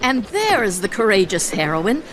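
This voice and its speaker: A woman's voice: mid-range voice, sing-song, normal speed, noisy background, natural voice. A woman playing a hearty, commanding captain of the guard who proclaims in a radio drama. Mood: jubilant. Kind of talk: radio theatre acting.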